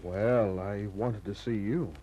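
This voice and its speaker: A hard-boiled detective from a 1950s radio crime serial. The voice is fairly low and husky, and the delivery is tough, clipped and cynical, full of slangy bite. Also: male voice, slow pace, mellow, low-quality recording. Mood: tough, pressing. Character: hard-boiled, cynical male private detective narrating his case.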